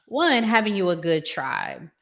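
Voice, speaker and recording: A woman with a slightly low voice speaks at an unhurried pace as a talk show host. She gives advice conversationally and leans on key phrases, repeating them for emphasis. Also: wildly swooping pitch, vocal fry, fairly bright tone.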